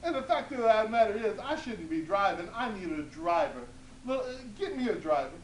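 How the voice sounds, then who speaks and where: A woman voicing a grasping, demanding old woman who speaks in a shrill, pleading tone. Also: very animated, moderate pace, natural voice. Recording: echoey room, distant mic, hum.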